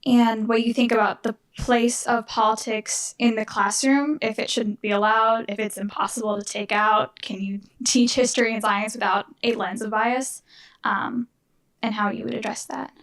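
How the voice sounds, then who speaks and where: A woman's voice: on the high side, steady tone, moderate pace, fairly bright tone, poor audio. A young woman in the audience asking a question at a talk, hesitant, with many fillers. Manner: hesitant, many ums, nervous laughs.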